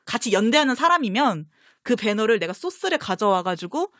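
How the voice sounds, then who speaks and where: Female talk-show guest, fairly high voice, dry close sound, casual storytelling. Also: big pitch swings, fairly quick, fairly bright tone, dry recording. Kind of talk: casual chat, telling anecdotes.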